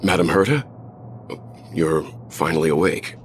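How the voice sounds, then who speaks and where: An actor playing a calm, courteous gentleman space traveler in a video game, speaking politely and formally. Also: a bass voice, unhurried, a little hiss or hum. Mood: apologetic, calm.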